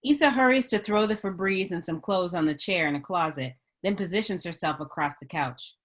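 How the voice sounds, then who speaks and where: A woman's voice: fairly low pitch, brisk. A woman reading stage directions as scripted narration at a screenplay table read.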